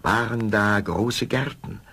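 A man's voice: lowish voice, slow, gravelly, bright, forceful tone, slightly rough. A man narrating a scripted fairy tale as a storyteller.

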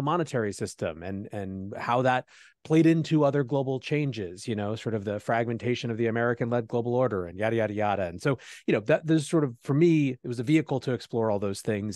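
A man answering as a podcast guest, talking quickly and fluently with lots of "you know.". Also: mid-range voice, no room sound, studio quality. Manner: rapid, fluent with frequent "you know" fillers. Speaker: podcast guest, show host. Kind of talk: conversational interview answer.

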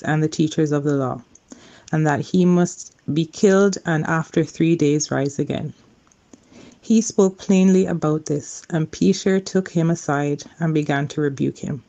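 A woman's voice: low-pitched, mellow, highs cut off. A woman giving a formal Bible reading as a church lector. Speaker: scripture reader.

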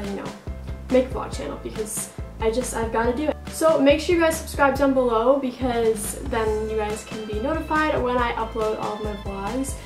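A woman's voice: fairly high pitch, roomy sound, recorded from a distance. A young woman chatting to camera for her vlog in a bedroom, bubbly and chatty, full of "like" and "so.". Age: young adult. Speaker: vlogger. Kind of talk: casual vlog chat to camera. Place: bedroom, indoors.

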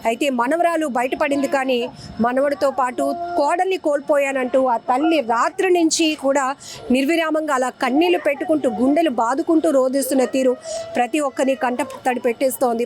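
A woman news reporter delivering an emotional, earnest report, pleading and slowing on heavy phrases. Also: sits high. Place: outdoors near a hospital morgue.

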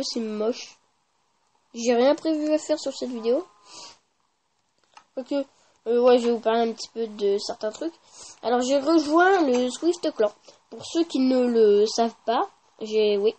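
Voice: mid-range voice, a little mellow. A gaming YouTuber giving casual, informal gameplay commentary with slang and swearing.

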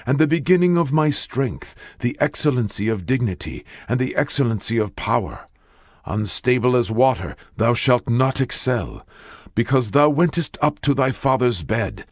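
Expressive man solemnly reading scripture. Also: mid-range voice, dry recording, clean studio sound. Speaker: audiobook narrator.

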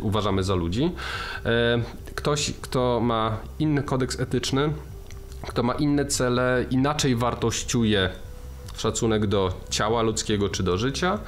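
A man co-hosting a film podcast, talking through his ideas with many restarts and fillers. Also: medium pitch. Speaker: podcast co-host. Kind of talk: casual film discussion.

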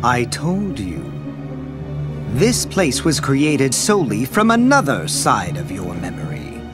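An actor playing a taunting, cryptic villain in a video game, with a theatrical, mocking, drawn-out riddling delivery. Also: male voice, mid-range voice, wildly swooping pitch, loud music behind the voice. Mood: cryptic, sinister. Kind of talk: video game cutscene voice acting.

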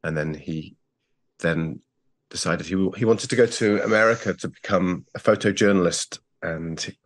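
A man in his forties from England with an English accent, recalling his family in a thoughtful, measured way on a video call. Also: low-pitched. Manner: thoughtful, measured, with frequent restarts. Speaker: guest. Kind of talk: reflective interview talk. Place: plain-walled home room. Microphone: earbuds on a video call.

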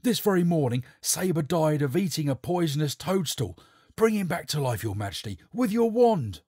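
A man doing a loud witch-hunter captain. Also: fairly high pitch, big pitch swings, moderate pace, no room sound, clean studio sound, voice pushed up. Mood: urgent. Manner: loud, urgent, challenging.

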